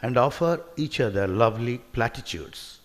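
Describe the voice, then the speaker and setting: A man reading his poem in a slow, wry declamation at a literature festival. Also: medium pitch, lively intonation. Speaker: poet. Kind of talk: poetry reading. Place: festival hall. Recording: long hall reverb.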